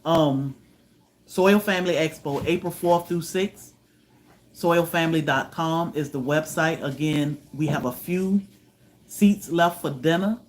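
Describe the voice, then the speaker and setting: A man from Virginia, hosting a homestead livestream, chatting with viewers in a relaxed way, often letting his voice trail off softly. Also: fairly high pitch, measured pace, slight background noise. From Virginia, USA. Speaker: homestead channel host. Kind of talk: livestream chat answering viewers.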